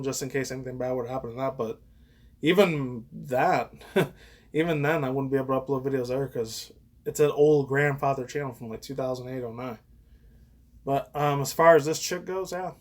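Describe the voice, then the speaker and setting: A man in his thirties giving casual, rambling reaction commentary as a YouTuber, frank and profane. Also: medium pitch. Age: 30s. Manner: crude, frank, profane, chuckling asides.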